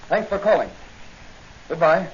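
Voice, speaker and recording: An actor playing a confident, brisk patrolman and secret masked crime-fighter in a 1940s radio drama, speaking with an inquisitive air. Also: on the high side, lively intonation. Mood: concerned, urgent. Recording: dull, noisy 1940 radio recording.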